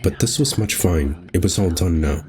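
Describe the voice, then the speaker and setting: A man's voice: low-pitched, moderate pace, mellow. A man hosting a gaming video, reading visual novel narration aloud and adding casual commentary.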